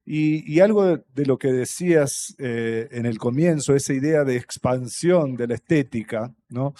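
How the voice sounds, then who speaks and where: A man from Brazil whose first language is Portuguese, speaking Spanish with a Brazilian accent on a festival panel, thoughtful and halting, with many fillers and restarts. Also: mid-range voice, dark tone. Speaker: panel guest, writer. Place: stage at a public festival panel.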